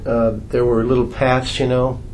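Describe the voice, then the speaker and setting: A man's voice: medium pitch, completely flat delivery, moderate pace, a little mellow, slight background noise, rough recording. A man at a committee meeting telling a story, rambling and searching for words. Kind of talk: casual meeting discussion. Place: meeting room.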